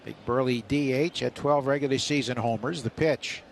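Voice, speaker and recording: A man's voice: medium pitch, normal speed, creaky. A man giving live play-by-play baseball commentary as a radio announcer. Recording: faint stadium noise on radio broadcast. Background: outdoor ballpark ambience.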